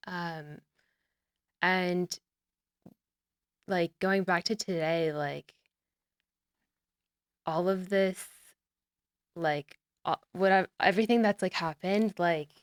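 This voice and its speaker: A woman's voice: lowish voice, takes it slowly, pauses often, clear, resonant tone, no echo, clean studio sound. A young adult woman from the United States, a podcast guest talking casually and earnestly, often saying "like", trailing off and restarting. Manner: relaxed and earnest, heavy use of "like", trailing off and restarting. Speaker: podcast guest, olive oil brand founder. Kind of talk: casual interview conversation.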